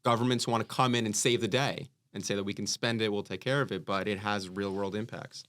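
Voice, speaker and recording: A man's voice: fairly low pitch, brisk. A man co-hosting a real estate podcast, explaining economics in a casual, conversational way with frequent fillers. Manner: animated, conversational, many fillers. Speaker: podcast co-host. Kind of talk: casual panel discussion.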